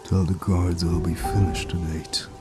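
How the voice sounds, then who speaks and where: Very deep, slow, menacing mafia boss played by a man. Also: loud music behind the voice. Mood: cold, commanding. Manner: slow, deliberate, menacing monotone. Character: ruthless, cold old-school mafia crime boss, male. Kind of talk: comic dub voice acting.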